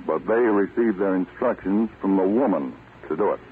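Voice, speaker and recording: An actor playing a stern, self-assured American government agent in a 1940s radio drama, speaking with deliberate authority. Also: male voice, low-pitched, natural voice. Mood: grave, explaining. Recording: muffled, tinny, noisy old radio recording.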